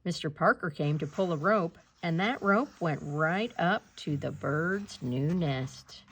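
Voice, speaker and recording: A woman reading a children's picture book aloud in an expressive, animated way, with asides to young listeners. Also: deep voice, measured pace, a little hiss or hum. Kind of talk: expressive read-aloud narration.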